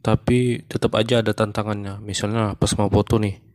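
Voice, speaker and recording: A man voicing a male monkey stand-up comedian in a cartoon who is running for mayor, telling jokes in a deadpan, even way. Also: fairly low pitch, a little fast, no room sound, clean studio sound, lightly acted.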